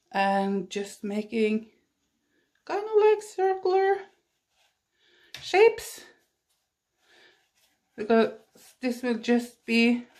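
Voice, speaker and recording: A Norwegian-accented woman talks unhurriedly through her watercolor process, chatty and self-deprecating. Her voice sits at a mid pitch, recorded indoors on a phone at her painting table. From Norway. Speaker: watercolor artist. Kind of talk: casual painting demonstration.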